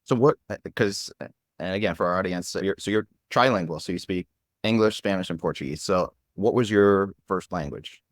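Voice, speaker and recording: A man hosting a podcast who asks his questions in a halting way, often restarting mid-sentence. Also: low voice, dry recording, clean studio sound. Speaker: podcast host. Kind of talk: conversational interview questions.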